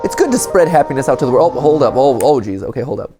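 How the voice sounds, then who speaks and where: A man's voice: medium pitch, very animated, fast talker, warm tone. A man doing casual reaction commentary, reading on-screen text aloud and adding sarcastic, joking asides and exclamations. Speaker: reaction video host. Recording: music behind the voice.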